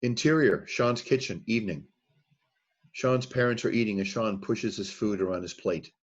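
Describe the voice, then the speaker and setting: A man narrating the stage directions at a screenplay read-through in a plain, steady reading voice. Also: on the low side, normal speed, lo-fi sound. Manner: plain, steady reading of scene headings and action.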